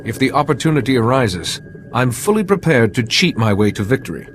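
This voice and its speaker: An actor playing a resolute, composed Jedi leader in a video game, measured and authoritative. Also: male voice, medium pitch, big pitch swings, a little hiss or hum, natural voice. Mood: determined. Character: resolute, composed male Jedi hero leading a mission. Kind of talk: scripted video game acting.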